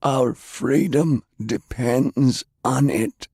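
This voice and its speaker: Audiobook role: stern old commander played by a male narrator, slow, strained and gravelly, pitched up from a deep narrating voice. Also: darkish tone, no room sound, clean studio sound, exaggerated voice. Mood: determined. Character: Pershing, ailing old male war leader, stern and ruthless. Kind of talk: audiobook character acting.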